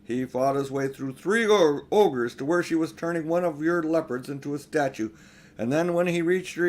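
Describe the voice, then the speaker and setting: A man's voice: fairly high pitch, darkish tone, close to an everyday voice. An older man voicing an earnest young king in a storybook, recounting a battle emphatically.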